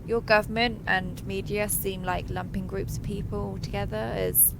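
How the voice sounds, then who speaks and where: A woman's voice: medium pitch, flat delivery, moderate pace, vocal fry, heavy background noise, natural voice. A woman playing a friendly, chatty giant transforming robot soldier in an audio roleplay, joking and reassuring as she talks to the listener. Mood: earnest, chatty.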